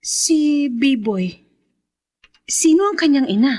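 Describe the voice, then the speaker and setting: Grown daughter, higher-pitched, troubled, earnest, questioning, radio drama delivery. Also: female voice, animated, slow, warm tone. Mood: curious, troubled. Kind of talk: radio horror drama acting in Tagalog.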